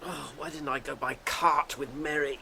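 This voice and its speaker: An actor with an English accent playing a wry, brisk gentleman hobbit in a radio drama, expressive in manner. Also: a little high, gravelly, a little hiss or hum, heavily acted. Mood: grumbling. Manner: animated, expressive.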